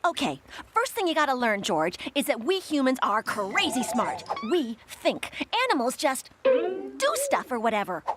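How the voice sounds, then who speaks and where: A woman's voice: sits high, exaggerated voice. A woman voicing a brash, bossy know-it-all girl in a cartoon, with an emphatic, lecturing manner. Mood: smug. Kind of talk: cartoon voice acting.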